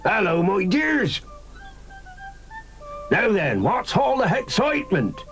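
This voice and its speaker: A man's voice: high voice, very animated, takes it slowly, a bit bright, soft background music, voice pushed up, heavily acted. A man reading a children's story aloud, voicing a hearty old male sailor who chuckles and draws out his words. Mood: cheerful.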